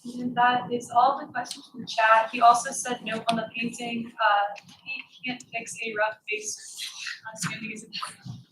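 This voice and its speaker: Female moderator; fairly high voice, distant echoing room mic. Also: flat delivery, normal speed, a bit bright. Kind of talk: reading audience questions. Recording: echoey room, distant, noisy.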